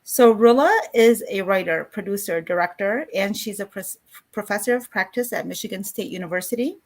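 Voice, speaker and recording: A woman's voice: mid-range voice, brisk. A woman hosting a discussion series, introducing and interviewing her guest. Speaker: host of a weekly discussion series. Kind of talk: online interview, reading an introduction then chatting.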